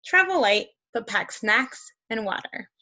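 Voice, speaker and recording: A woman reading a picture book aloud to young children, expressive and encouraging, with conversational asides. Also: fairly high pitch, measured pace, dull audio. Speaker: read-aloud host for children.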